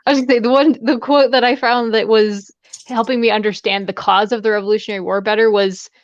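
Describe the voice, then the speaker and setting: A woman's voice: on the high side, fairly even intonation, fast, dry recording. An American woman talking casually and a little tentatively in a book discussion. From United States West Coast. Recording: dull, dry livestream call audio.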